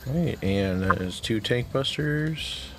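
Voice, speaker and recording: A man leading a game raid on stream, calmly calling out mechanics and giving instructions. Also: medium pitch, slow, music in the background. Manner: calm, instructive callouts with short laughs.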